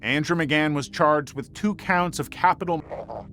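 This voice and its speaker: A man's voice: fairly high pitch, moderate pace, fairly bright tone. A man reading scripted true crime documentary narration. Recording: faint music bed behind narration. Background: music.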